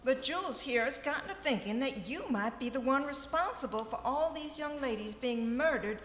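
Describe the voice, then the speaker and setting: Woman playing a high-voiced naive young sweetheart. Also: animated, normal speed, a bit bright. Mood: puzzled. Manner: sweet, formal, theatrical. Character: naive, devoted young bride-to-be daughter. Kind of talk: live old-time radio melodrama. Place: live stage in a ballroom. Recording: echoey, noisy live hall.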